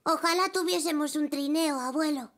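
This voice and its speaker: A woman voicing a curious, excitable five-year-old boy zebra in a dubbed cartoon, with bouncy, gleeful exclamations. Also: high voice, moderate pace. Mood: eager. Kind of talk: cartoon dubbing.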